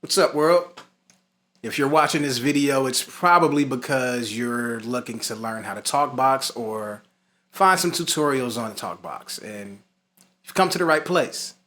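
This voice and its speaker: A man's voice: medium pitch, unhurried, a bit bright. A man hosting an informal tutorial, part scripted and part conversational, stressing and repeating his key points. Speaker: instructor and host of a talk box tutorial.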